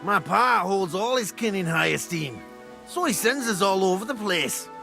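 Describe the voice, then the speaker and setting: An actor playing a wry, chatty roving young nobleman with a Scottish accent and a creaky voice in a medieval game. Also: high-pitched, animated, normal speed, bright, forceful tone, exaggerated voice. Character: breezy, roving young Moravian nobleman, man. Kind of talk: video game dialogue acting. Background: music.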